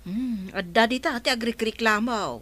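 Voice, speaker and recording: A woman's voice: on the high side, normal speed, bright, forceful tone, no room sound. An actress with an Ilocano Filipino accent playing a plain-spoken, gossipy village woman who grumbles in a radio comedy drama. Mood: grumbling.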